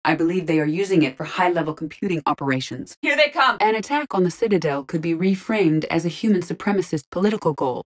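Woman acting sci-fi game ai lady, kinda low voice, super composed, formal n precise, very analytical. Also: lightly acted. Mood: calm, analytical. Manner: precise, formal, measured diction. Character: composed, analytical female artificial intelligence in android body. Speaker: game character, squad companion.

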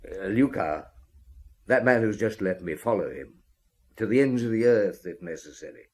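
An actor playing a shrewd police detective who questions tersely in a radio drama. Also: male voice, fairly low pitch, animated, normal speed, darkish tone, a little hiss or hum. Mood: terse, probing. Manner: terse, probing. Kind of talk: old radio drama acting.

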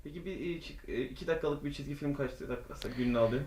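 A man's voice: a little high, very fast, a little mellow. A man working as a local news reporter, asking informal interview questions.

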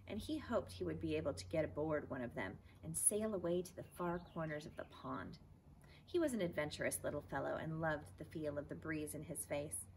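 A woman's voice: sits low, poor audio. A woman reads a children's chapter book aloud expressively, outdoors. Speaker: teacher reading aloud. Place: outdoors in a backyard garden. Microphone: camera a few feet away. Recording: faint outdoor background noise.

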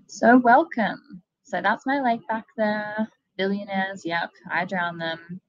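A woman's voice: medium pitch, fairly even intonation, slow pace, dry recording, muffled recording, pitched above the performer's own voice, lightly acted. A woman voicing a chatty, bloodthirsty water-horse monster in a comic reading, breezy and friendly. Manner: breezy and friendly, turns menacing.

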